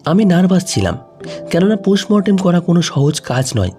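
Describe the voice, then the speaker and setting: Guy narrating an emotional audio story, soft warm tone, bit high pitched, light music behind. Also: sing-song, fairly quick. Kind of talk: scripted dramatic first-person narration. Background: faint music.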